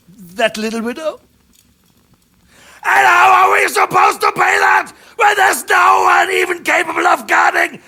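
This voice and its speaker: A man's voice: very high voice, gravelly, bright, forceful tone, exaggerated voice. Volatile, bellowing medieval king. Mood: scornful. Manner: imperious, sarcastic, erupting into bellows. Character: furious, foul-mouthed medieval king, sneering and volatile. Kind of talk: video game cutscene acting.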